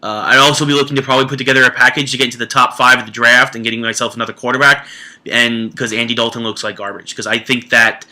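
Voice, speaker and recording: Sharp-voiced congested male sports host. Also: mid-range voice, flat delivery, fast talker. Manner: candid, rambling, self-correcting. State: congested with flu. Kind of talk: casual sports commentary. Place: home man cave.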